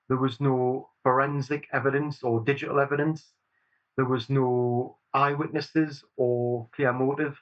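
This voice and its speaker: A man's voice: mid-range voice, lo-fi sound. A man in his forties with a Geordie accent, speaking sincerely and steadily as a guest in an interview. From Newcastle upon Tyne, England. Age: 40s. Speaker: campaign group chair, guest. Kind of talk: earnest interview answers.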